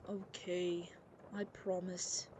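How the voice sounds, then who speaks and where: A woman's voice: lowish voice, level tone, extremely slow, dark tone. A woman voicing a small, sleepy, stubborn young master in an anime ASMR roleplay, pleading in a reluctant, childlike way, on a noisy recording.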